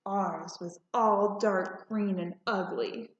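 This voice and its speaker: A woman narrating a children's storybook aloud in a deliberate, expressive way. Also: medium pitch. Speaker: storybook reader. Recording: roomy, slightly muffled home recording.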